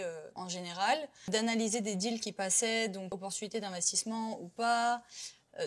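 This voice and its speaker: Young ivorian woman telling her story in an interview, loose and chatty, lots of euh. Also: medium pitch. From Côte d'Ivoire. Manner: chatty, many fillers and restarts. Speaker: interview guest. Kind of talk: casual interview chat.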